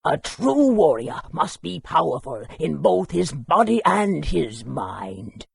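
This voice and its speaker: An actor playing a long-winded, helpful old scholar in a fantasy video game, with a very raspy, creaky voice. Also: male voice, fairly high pitch, sing-song, slow, dry recording, heavily acted. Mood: helpful, wise. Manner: wordy, instructive.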